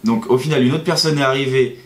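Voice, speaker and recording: A man's voice: mid-range voice, fast talker, slight background noise. A young man from France, a native French speaker, casually recounting a day step by step as a vlog guest. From Nantes, France. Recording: echoey, roomy sound.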